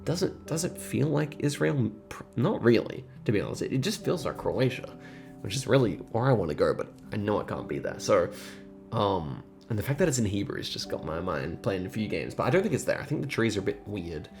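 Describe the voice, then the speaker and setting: A man's voice: fairly low pitch, sing-song, a little fast, gravelly, darkish tone, soft background music. A man giving casual, thinking-aloud gameplay commentary, musing and rambling hesitantly as he goes. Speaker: host of geography quiz gaming videos.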